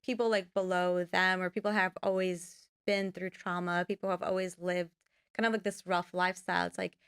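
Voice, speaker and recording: A woman's voice: lowish voice, level tone, normal speed, smooth, no echo, studio quality. A young woman, a filmmaker guest, talking casually in a podcast interview and rambling with many fillers. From United States. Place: podcast studio.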